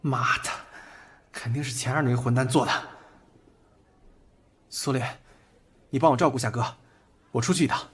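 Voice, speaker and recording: A man's voice: medium pitch, fairly even intonation, moderate pace, pauses often, husky. An actor playing a hot-headed, fiercely loyal young man in an audio drama, with emotional, intense delivery. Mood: angry. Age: young adult. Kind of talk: Chinese audio drama acting. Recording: loud music over the voice.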